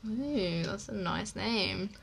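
A woman's voice: mid-range voice, lively intonation, slow pace, gravelly, husky. A teenage girl with an Egyptian accent, co-hosting a casual podcast in a chatty, teasing way. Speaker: podcast co-host. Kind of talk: casual chat.

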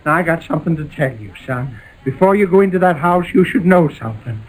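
An actor playing an earnest, bumbling visitor in 1940s radio comedy, speaking in an emphatic, paternal way. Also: male voice, on the high side, lively intonation, fast, slightly rough, rough recording. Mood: earnest, excited. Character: earnest, bumbling male visitor breaking news solemnly. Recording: old radio recording, faint noise.

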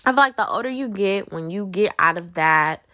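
A woman's voice: mid-range voice, animated, brisk, bright, forceful tone. Woman chatting casually on a solo podcast. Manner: conversational, rhetorical questions to listeners. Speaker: podcast host.

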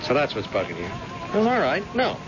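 A man's voice: mid-range voice, wildly swooping pitch, brisk, music in the background. A man acting in an old-time radio drama as a stubborn, proud blind man, hard-boiled, bitter and challenging, in clipped colloquial phrases. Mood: wry, guarded. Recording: muffled, noisy old radio recording.

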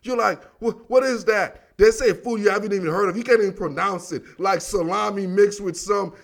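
High-pitched, slangy American sports commentator guy. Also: a little fast, creaky. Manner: animated, emphatic, repeats himself, addresses listeners as dog and baby. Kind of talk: casual, slangy football commentary monologue.

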